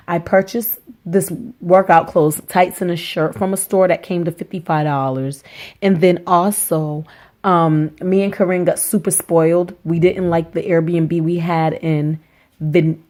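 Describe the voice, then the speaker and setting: A woman with a hoarse voice from a cold, chatting upbeat to her viewers in a casual budget vlog from a small rented apartment. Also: lowish voice, darkish tone. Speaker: vlogger.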